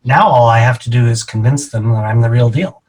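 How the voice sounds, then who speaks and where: A middle-aged man being interviewed as a real estate agent, explaining his answers in a measured, deliberate way. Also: medium pitch.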